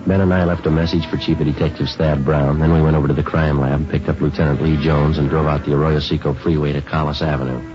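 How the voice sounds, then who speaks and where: A hard-working police sergeant with an extremely low voice, telling his case in short, plain statements. It is understated radio drama, with the dull sound of a vintage broadcast. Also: level tone, quick pace, hardly any pauses, music in the background. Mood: flat, factual. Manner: clipped, matter-of-fact, understated. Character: terse, businesslike male police homicide detective sergeant. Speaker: lead actor and first-person narrator. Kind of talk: 1950s radio drama narration.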